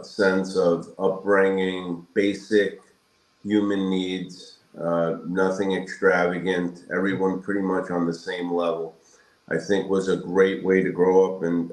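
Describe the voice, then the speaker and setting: A man in his sixties from Brooklyn, answering interview questions in a measured, halting, reflective way with pauses between phrases. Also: deep voice. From Brooklyn, New York, United States. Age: 60s. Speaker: guest, periodontal surgeon. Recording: roomy echoing sound.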